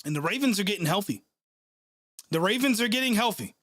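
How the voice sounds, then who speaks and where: A young man reacts live to a game for his stream, his nose stuffed up from a cold. His voice sits fairly high, and he vents with sarcasm and frequent swearing. The sound is dry and close. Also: lively intonation, brisk, fairly bright tone, no echo. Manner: frustrated, sarcastic, swears often. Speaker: sports channel host reacting live. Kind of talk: live play-by-play reaction stream, reading chat.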